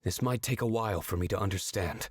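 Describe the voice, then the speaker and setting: A man playing a troubled, earnest young man in a video game, with a husky, hesitant delivery. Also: fairly low pitch, fast, no room sound, studio quality. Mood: confused, subdued. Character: troubled, earnest young man confronting his father's usurper.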